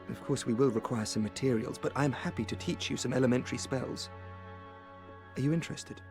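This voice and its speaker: A man's voice: medium pitch, close to an everyday voice. An actor playing a polite, earnest male sorcerer in a video game, speaking in formal, courteous words. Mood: grateful, courteous. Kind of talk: video game character dialogue.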